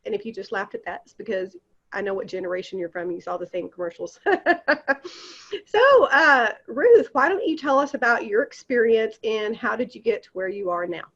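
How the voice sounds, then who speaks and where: A woman's voice: mid-range voice, expressive, normal speed, fairly bright tone. A woman hosting an interview, putting questions to her guest and joking with the audience. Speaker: interviewer host. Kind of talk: casual interview. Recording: dull muffled audio.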